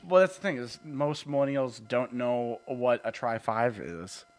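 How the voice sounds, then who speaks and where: Male co-host, mid-pitched, loose rambling car banter with lots of ums. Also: a little slow, faint music behind. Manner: rambling, laughs often, frequent ums. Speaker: podcast co-host. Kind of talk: casual car chat.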